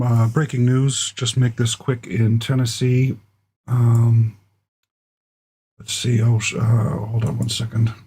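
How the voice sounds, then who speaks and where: A man hosting a live talk stream while losing his voice, speaking haltingly with many fillers in an echoey home room. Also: medium pitch, a little mellow, breathy edge. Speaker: show host. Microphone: close desk mic. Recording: echoey room, mic held close.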